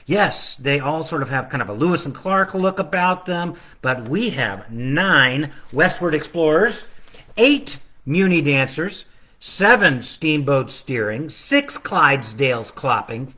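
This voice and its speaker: A man's voice: a little high, bright, forceful tone. A man reading his own picture book aloud at a children's storytime, chatting casually between the verses and stretching out the repeated refrain.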